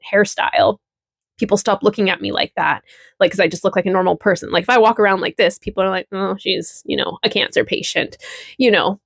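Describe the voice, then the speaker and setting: A woman's voice: medium pitch, vocal fry, dry recording, studio quality. A woman vlogger giving a casual personal update, chatty, informal and candid.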